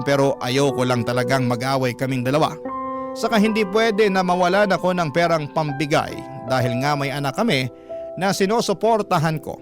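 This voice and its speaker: A man giving a dramatic scripted first-person narration for a radio drama, earnest and confessional. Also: on the high side. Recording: music bed under the voice. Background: instrumental music.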